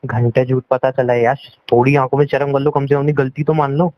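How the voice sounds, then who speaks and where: A man's voice: medium pitch, flat delivery, quick pace, dry recording. A young man arguing on a phone call in an accusing, scolding and repetitive way. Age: young adult. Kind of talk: heated relationship argument in casual Hindi-English talk. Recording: dull lo-fi call recording.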